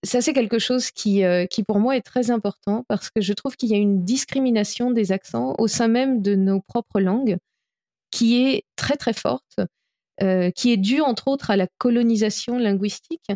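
A French-born woman speaks as a guest on an interview, her voice warm and mellow. She talks earnestly, often pausing on fillers and restarting her sentences. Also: on the high side. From France. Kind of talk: podcast interview answers.

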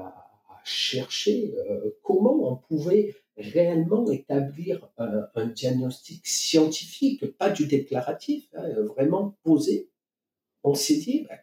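A man from France gives conversational, explanatory answers as an interview guest, searching for his words. His voice is very dark and relaxed at an average pitch. It sounds echoey and a bit away from the microphone. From France, Marseille. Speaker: interview guest, company co-founder. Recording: echoey room, distant from mic.

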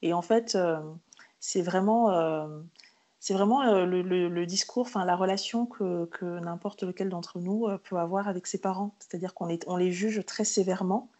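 A woman's voice: lowish voice, fairly even intonation, normal speed, mellow. A woman hosting a podcast, asking long, engaged, curious questions. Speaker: podcast host. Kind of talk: podcast interview.

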